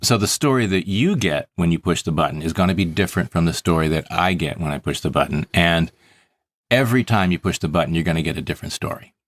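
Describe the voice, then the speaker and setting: A man's voice: deep voice, expressive, fast, no room sound, studio quality, soft background music. A man hosting a podcast, talking conversationally and explaining things plainly, step by step. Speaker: podcast host and story producer.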